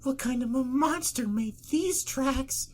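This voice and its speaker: Timid female pegasus pony played by a narrator, high and hesitant, easily frightened, fan storybook. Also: normal speed, husky, lightly acted. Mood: panicked. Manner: hesitant, timid, squeaky when upset. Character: shy, fearful, squeamish female pegasus pony.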